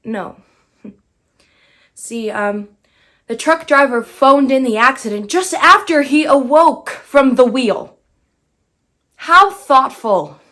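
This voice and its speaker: A woman's voice: high voice, animated, takes it slowly, pauses often, pushed and bright, full character voice. A young woman performing a bitter, brash dead girl in a theatrical monologue, sardonic and emphatic. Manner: sardonic, emphatic, swinging into outbursts.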